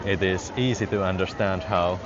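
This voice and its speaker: A man's voice: low-pitched, fairly even intonation, moderate pace, lo-fi sound, noisy background. A man with a Finnish accent chatting casually to his viewers on a live stream while walking outdoors through a city parade, chuckling often. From Finland. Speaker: livestream walking vlogger. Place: outdoors on a busy city street during a parade. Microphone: handheld phone.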